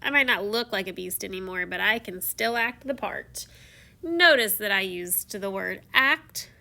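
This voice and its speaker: A woman's voice: medium pitch, animated, measured pace, vocal fry, sharp tone, heavily acted. A woman reading a storybook in the first person as a theatrical, confiding, self-mocking young prince who became a beast. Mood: playful, boastful. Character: theatrical, once-shy young prince turned beast, telling his tale.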